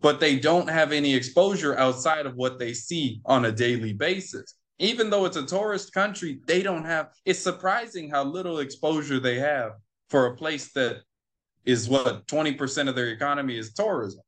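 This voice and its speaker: A man's voice: a little high, moderate pace. Man retelling an argument. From United States. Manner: animated, acts out quoted exchanges, repeats himself for emphasis. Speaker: interview guest. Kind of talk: conversational storytelling.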